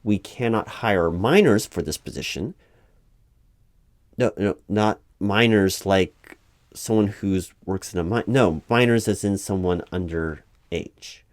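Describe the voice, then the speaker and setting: A man playing an earnest, easily flustered corporate recruiting manager in a one-sided ASMR roleplay, speaking aloud in a halting, officious, repetitive way. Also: sits low, dry recording, studio quality. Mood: exasperated, insistent. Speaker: job interviewer.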